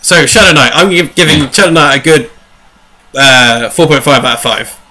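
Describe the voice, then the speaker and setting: A man with a bright, forward voice pitched a little high hosts a book review show. He talks in loose, casual chat, animated and rambling, with a jokey streak. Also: moderate pace, a little hiss or hum.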